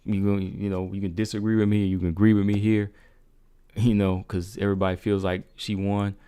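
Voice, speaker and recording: A man's voice: fairly low pitch, level tone, a little fast, dark tone, clean tone, dry recording. A man hosting a casual MMA livestream, asking his viewers rhetorical questions. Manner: talks straight to viewers, asks rhetorical questions. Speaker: MMA talk show host.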